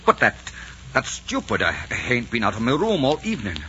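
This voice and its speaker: A man's voice: mid-range voice, huge pitch swings, fairly quick, pushed and bright. An actor playing a bold, passionate nobleman, theatrical and emphatic in 1940s radio drama style. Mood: startled protest. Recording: muffled, hissy 1940s radio recording.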